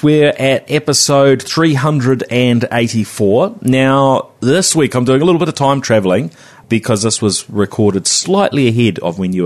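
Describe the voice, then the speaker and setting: Rambling New podcast host. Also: male voice, medium pitch. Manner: rambling, many "you know" fillers and restarts. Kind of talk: casual interview chat.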